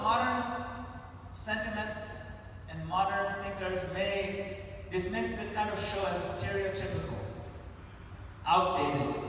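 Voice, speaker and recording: Male spiritual lecturer from Kenya, forties, high-pitched, emphatic phrasing with pauses, heard from far off. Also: fairly bright tone. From Nairobi, Kenya. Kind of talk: spiritual lecture with slides to an audience. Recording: echoey hall, distant mic, faint noise.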